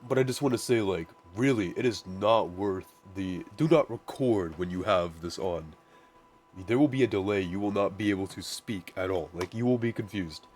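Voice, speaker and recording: A man with a naturally deep voice, casually walking through his music production setup, rambling with lots of "like" and restarts. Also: moderate pace, a little mellow. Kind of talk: casual screen-share tutorial.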